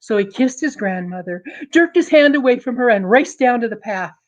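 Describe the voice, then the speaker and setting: A woman telling a story dramatically to an audience, deliberate and emphatic, building suspense. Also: on the high side, expressive, highs cut off.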